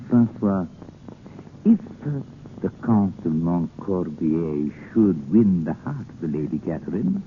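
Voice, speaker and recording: An actor playing a sly, vengeful French king with halting, deliberate theatrical delivery in 1930s radio style. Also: low-pitched, sing-song, heavily acted. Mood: sly scheming. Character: sly, vengeful king of France toying with a poet. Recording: noisy, narrow-band 1930s radio broadcast.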